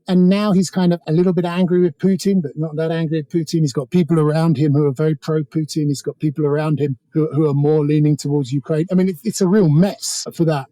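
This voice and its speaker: British man, higher-pitched, dark-toned voice. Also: steady tone, quick pace, few pauses, no echo, low-quality recording. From Britain. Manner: discursive, hedging with many asides. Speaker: author, interview guest. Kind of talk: conversational political commentary.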